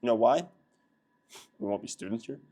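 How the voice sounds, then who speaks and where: A man's voice: mid-range voice, expressive, normal speed, mellow. A teenage boy hosting a school video show, upbeat and playful, hyping up his guests. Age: teens. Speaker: student host of a school show. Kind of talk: casual school news chat.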